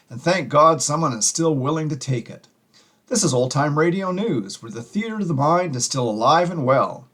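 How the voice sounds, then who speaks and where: A man's voice: fairly high pitch, a bit bright. A man hosting a show, delivering scripted opinion narration. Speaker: podcast host.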